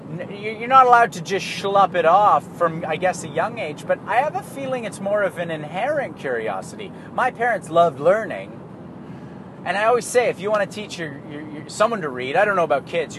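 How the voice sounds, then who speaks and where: Canadian guy, somewhat high voice, loose profane monologue, car and street noise audible behind. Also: sing-song, fairly quick. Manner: rambling, profane, laughs at himself. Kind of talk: casual monologue.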